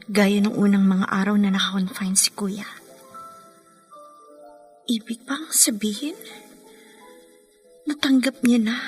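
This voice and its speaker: A concerned younger sister, acted by a woman in a family radio melodrama. Her medium-pitched voice is earnest and questioning. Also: close to an everyday voice. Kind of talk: Tagalog radio drama acting.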